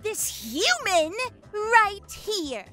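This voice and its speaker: Very high, sassy werewolf girl. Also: big pitch swings, very slow, sharp tone, faint music behind, heavily acted. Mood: scornful. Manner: sassy, drawling. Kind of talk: animated web roleplay acting.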